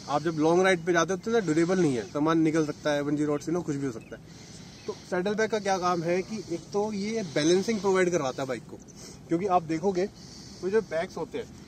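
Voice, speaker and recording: High-voiced man vlogging outdoors. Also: slight background noise. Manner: chatty, explains step by step. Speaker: motorcycle vlogger. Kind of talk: casual product demo. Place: open lakeshore outdoors.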